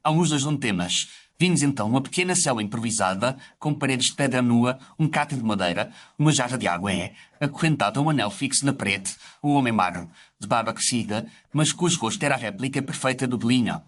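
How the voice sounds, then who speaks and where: A man's voice: medium pitch, expressive, a little fast, few pauses, a bit bright, slight background noise. A man narrates a scripted audio story. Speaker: narrator telling the story as a doctor character.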